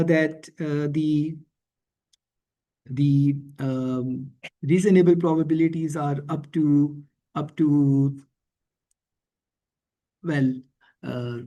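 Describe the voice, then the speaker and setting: A man teaching in a screencast tutorial, thinking aloud haltingly and often checking in with a questioning tag. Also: a little high, warm tone, poor audio. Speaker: instructor. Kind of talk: screencast programming and statistics tutorial.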